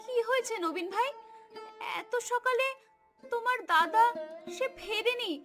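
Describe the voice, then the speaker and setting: A poor, troubled village wife, voiced by a woman in a cartoon drama. Her voice is extremely high and pleading, delivered with melodrama over clear background music. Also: unhurried, a bit bright. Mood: anxious.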